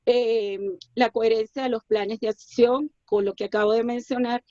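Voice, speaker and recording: Woman auditor presenting online; measured and hesitant, dull dry audio. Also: on the high side, no echo, faint music behind. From Colombia. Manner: steady explanatory delivery with frequent hesitations. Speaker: quality management consultant and auditor. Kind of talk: webinar presentation.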